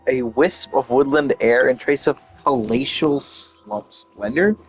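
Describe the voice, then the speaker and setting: A man's voice: mid-range voice, slow pace, husky, rough recording. A man giving casual, unscripted commentary as he plays a video game, with music behind him. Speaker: gameplay streamer. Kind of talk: casual game commentary, reacting and reading aloud.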